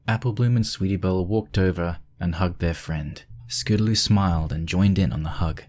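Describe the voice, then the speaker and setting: Male narrator, low register, reading prose fiction from a script. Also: normal speed, darkish tone.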